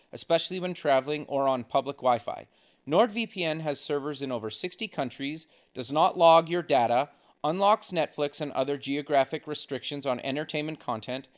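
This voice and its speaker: A male podcast host who reads a sponsor spot and interviews a guest, reacting with short upbeat remarks. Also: fairly high pitch. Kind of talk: interview hosting and ad read.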